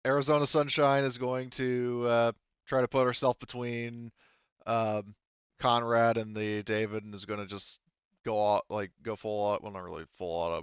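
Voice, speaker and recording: A man narrates a tabletop game as its game master. His voice is smooth and sits at an ordinary male pitch. He talks casually, pausing to find his words and swearing freely, on a close, dry, slightly muffled recording. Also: a bit bright, no room sound. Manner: casual, swearing, halting delivery.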